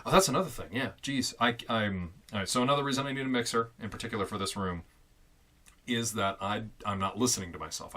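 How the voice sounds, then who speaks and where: A young man talking casually and loudly into a fixed studio mic in a small foam-lined closet, rambling with fillers and amused asides. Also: on the low side. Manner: loud, chatty and self-amused, with many fillers and restarts. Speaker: vlogger testing a home studio. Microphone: fixed studio condenser mic on a stand.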